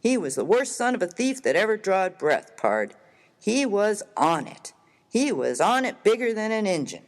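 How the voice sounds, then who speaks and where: A rough American frontier miner voiced by a man, folksy and slangy in a comic story reading. His pitch swings widely as he makes each point emphatically. The recording rings with a long, hall-like reverb. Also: very animated, moderate pace. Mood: mournful, admiring. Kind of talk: dramatized reading of a humorous story.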